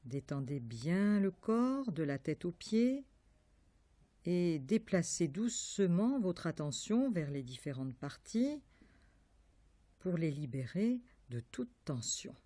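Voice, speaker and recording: Woman doing guided yoga nidra, really slow n calm, warm relaxed voice, lots of pauses. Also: lowish voice, no room sound. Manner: calm, measured instruction with long pauses. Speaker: yoga nidra meditation teacher. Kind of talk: guided meditation.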